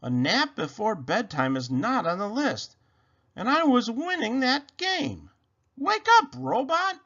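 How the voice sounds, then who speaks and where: A man voicing an excitable, fussy rabbit who panics easily, whiny and dramatic, for a children's storybook. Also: extremely high pitch, normal speed, highs cut off, pitched above the performer's own voice, exaggerated voice. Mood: whining, exasperated.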